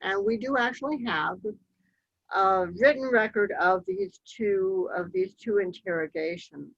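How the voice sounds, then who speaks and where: Woman presenter, unhurried storyteller, mid pitch, muffled lo-fi recording. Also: fairly bright tone, dry recording. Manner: measured, slow storytelling with occasional ums. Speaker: local history speaker. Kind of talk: slide-show history talk. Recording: dull, lo-fi dry recording.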